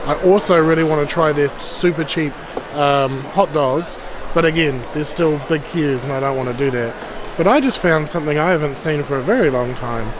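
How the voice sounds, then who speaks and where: A man's voice: fairly high pitch, moderate pace. A middle-aged man, talking casually through a rambling shopping vlog while holding the camera. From Denmark. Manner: rambling, chatty price commentary. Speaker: vlogger. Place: large busy warehouse store. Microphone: handheld camera at arm's length. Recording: loud store background noise. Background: loud store crowd noise.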